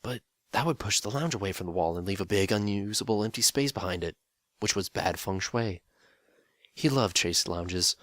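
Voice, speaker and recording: A man narrates a dramatic story reading in a steady, wry way. His voice is very low. The recording is close and dry. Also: no room sound.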